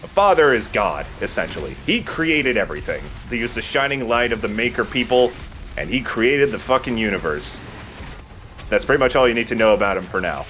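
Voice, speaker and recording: A man's voice: medium pitch, lively intonation, a little fast, fairly bright tone. A man giving casual, explanatory gameplay commentary in an informal way, with some swearing. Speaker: let's play host and player. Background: game music.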